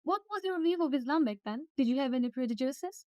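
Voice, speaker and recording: Woman host, high and brisk, polite formal questions, dry close sound. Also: lively intonation, no room sound, muffled recording, soft background music. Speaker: interviewer. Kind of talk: scripted interview questions.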